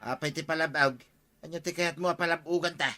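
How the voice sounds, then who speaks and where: A man's voice: a little high, steady tone, quick pace, pushed and bright. A man playing a doubting, quarrelsome village sidekick with an Ilocano accent in a radio comedy drama. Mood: puzzled.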